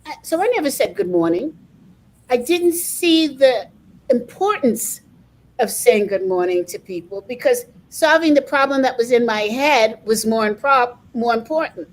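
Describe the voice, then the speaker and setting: A woman's voice: a little high, expressive, moderate pace, poor audio. A middle-aged woman hosting an interview, talking emphatically and sharing personal anecdotes, often repeating words as she starts. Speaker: interviewer, coaching association host. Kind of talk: conversational interview.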